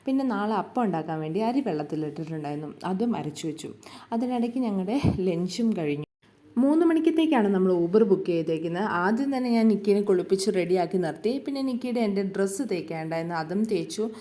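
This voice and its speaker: A woman's voice: mid-range voice, animated, fairly quick, mellow. A woman with a Malayali accent narrating her day as a vlogger in a casual, conversational, homely storytelling way. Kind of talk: casual daily vlog narration.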